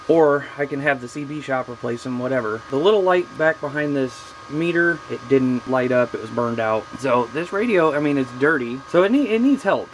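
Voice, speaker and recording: A man's voice: mid-range voice, a little hiss or hum, poor audio. A man giving a casual, folksy show-and-tell about his hobby gear as a video host, with informal slang.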